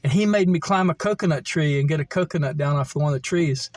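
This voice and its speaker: A middle-aged man with an American accent chats informally about his memories. His voice sits a little higher than most men's. He wanders from point to point, pausing and thinking out loud. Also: dry recording. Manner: halting, meandering, thinks aloud. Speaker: vlogger telling personal stories. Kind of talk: casual rambling reminiscence. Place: camper van.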